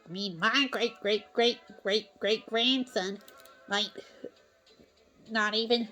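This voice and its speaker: A stubborn drifter of a cartoon sea sponge acted by a woman, folksy and weary. The lines come very slowly and haltingly, with music underneath. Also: fairly high pitch, steady tone, bright, forceful tone. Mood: wistful, resolute.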